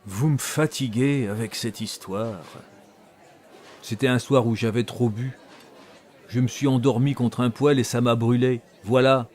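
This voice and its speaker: A man's voice: medium pitch, fairly even intonation, unhurried, a little mellow, lightly acted. An actor playing a talkative old ex-soldier, telling his story conversationally and teasingly in an audio drama. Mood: weary, dismissive. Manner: conversational, teasing, addresses the listener directly. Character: talkative old male ex-soldier telling tall tales in a tavern.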